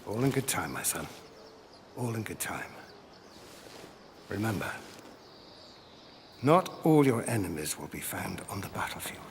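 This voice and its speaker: An actor playing a middle-aged Roman father in a video game, gentle, grave and measured. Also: fairly low pitch, sing-song, vocal fry, in a large hall, noisy background, full character voice. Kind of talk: dramatic video game acting.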